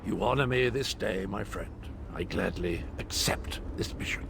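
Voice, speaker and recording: An actor playing an eccentric old knight in a video game, jolly, chivalrous and theatrically pompous. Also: male voice, deep voice, normal speed, vocal fry, background noise. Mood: grandly exultant. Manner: pompous, theatrical, flowery speech. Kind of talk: video game character acting.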